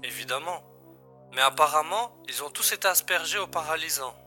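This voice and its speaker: A man's voice: a little high, lively intonation, brisk, pushed and bright, faint music behind. A man acting a wry agency boss who gives an urgent, matter-of-fact briefing in a science-fiction audio drama.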